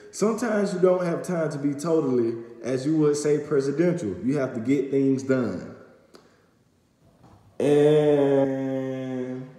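A man's voice: mid-range voice, dark tone. A young man recapping news to camera, reading aloud with stumbles and fillers, in a small room at home on a phone camera. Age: young adult. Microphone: phone camera at arm's length. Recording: echoey room with long reverb.

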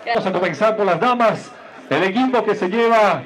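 A man's voice: sits high, moderate pace, a faint crowd, poor audio. A man with an Ecuadorian accent announcing a ceremony as its host. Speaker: master of ceremonies. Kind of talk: event announcing. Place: outdoor sports ground.

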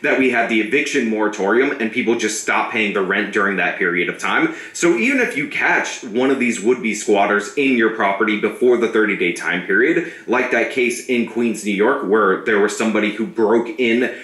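A man hosting scripted political commentary, sharp and scornful as he argues. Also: fairly low pitch, expressive, normal speed, few pauses, roomy sound. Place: small room with a brick wall. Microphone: studio mic on a stand.

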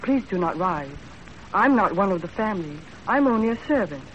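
A woman's voice: medium pitch, lively intonation, brisk, close to an everyday voice. A woman playing a self-possessed, sceptical young servant in a composed, plain-spoken 1930s radio acting style. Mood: polite, composed. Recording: muffled, faintly noisy 1930s radio broadcast.

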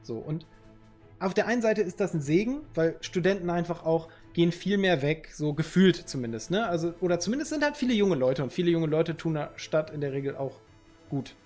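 A man in his thirties chats loosely with his viewers, joking in slang as he reads their messages aloud. His voice sits a little high for a man. A headset mic picks him up in a room at home, with faint music behind. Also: expressive, a little fast, a little mellow. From Northern Germany. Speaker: streamer. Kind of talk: casual chat with viewers.

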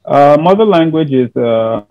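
A young man from Nigeria with a Nigerian accent, a student guest answering interview questions in a relaxed, thoughtful way with plenty of fillers. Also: fairly high pitch, no echo. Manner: thoughtful, loose and unhurried, with frequent fillers. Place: indoor room. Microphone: headset on video call. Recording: thin phone-like audio, dry.

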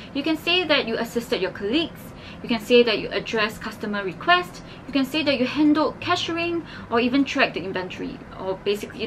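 Woman explaining steps, slightly high. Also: fairly bright tone, a little hiss or hum, poor audio. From Singapore. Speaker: scholarship advice vlogger. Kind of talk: scripted explainer to camera. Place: indoors against a plain wall.

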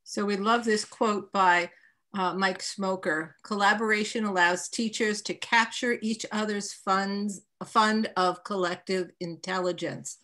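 New York woman presenting in a measured way. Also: mid-range voice, steady tone, sharp tone. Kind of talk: conference presentation. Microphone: video call.

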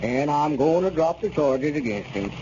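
An actor playing a smooth-talking, scheming squire in a 1930s radio comedy, with genteel, unctuous politeness. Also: male voice, on the high side, expressive, moderate pace, dark tone, natural voice. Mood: smug. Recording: muffled, noisy 1930s radio recording.

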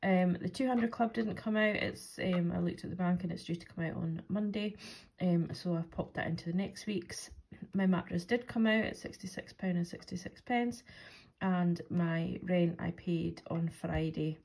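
Husky, chesty Scottish woman rambling. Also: fairly low pitch, steady tone, normal speed, a little mellow, poor audio. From Scotland. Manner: rambling, friendly, many ums. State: chesty, coming down with a cold. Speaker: budgeting vlogger. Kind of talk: casual chatty to-camera talk. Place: at a wooden table indoors.

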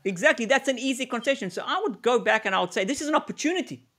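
A man's voice: extremely high pitch, lively intonation, very fast, sharp tone. A middle-aged man from South Africa presenting a live webinar over a video call, talking conversationally, repeating words as he thinks and acting out sample exchanges. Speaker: negotiation consultant presenting a webinar.

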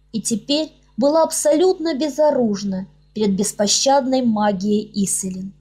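A woman's voice: sits high, warm tone. A woman reading her own fairy-tale audiobook as a scripted narration. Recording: music under the voice. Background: background music.

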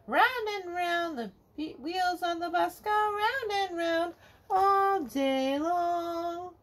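Slow, high-voiced woman singing a picture book. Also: a bit bright. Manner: slow sing-song, singing the verses. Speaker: storyteller reading a children's picture book. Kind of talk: read-aloud sung picture book for kids. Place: home living room, indoors.

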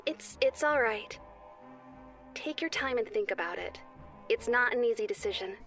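A gentle female angel rabbit in a children's cartoon. The voice is fairly high and instructive, like a kids' show teacher, with some music behind it. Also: animated, moderate pace. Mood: kindly. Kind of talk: children's cartoon moral lesson.

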